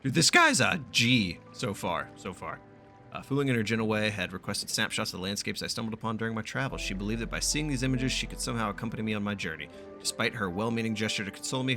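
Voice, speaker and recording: A man streaming a game, reading its text aloud in a casual, profane way and stumbling now and then. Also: fairly low pitch. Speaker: gaming streamer doing a playthrough.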